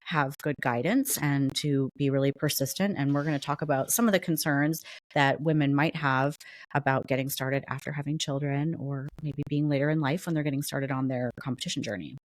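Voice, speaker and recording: A woman co-hosting a podcast, her voice unusually low for a woman. She talks things through steadily and casually. The recording is dry, with no room sound. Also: fairly even intonation, a little fast, dry recording. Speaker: podcast co-host.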